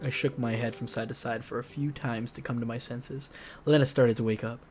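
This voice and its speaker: A man's voice: medium pitch, fairly even intonation, fairly quick, darkish tone. Male let's player, casual jokey storyteller with slangy delivery, faint music underneath. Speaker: let's player reading a visual novel aloud. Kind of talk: casual narration of a game story with joking asides. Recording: music behind the voice.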